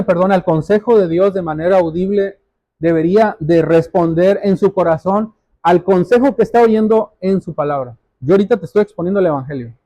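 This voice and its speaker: A male pastor delivers a sermon in a high, mellow voice. He exhorts his listeners earnestly and directly, circling back to key phrases to stress them. The sound is dry, with very little room around it. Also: no room sound. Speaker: pastor preaching to a congregation.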